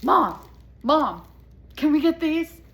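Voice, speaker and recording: A woman's voice: high voice, very animated, slow, a bit bright, voice pushed up, heavily acted. A woman voicing an eager young boy rabbit from a storybook, insistent and proud of being grown-up. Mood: pleading.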